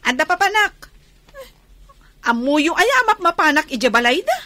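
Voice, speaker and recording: An actress playing a defiant, sulky young adult daughter in a radio drama, with a whiny, insolent way of talking. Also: female voice, high-pitched, expressive, moderate pace, pushed and bright, dry recording. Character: defiant, sulky young daughter who shirks chores and parties.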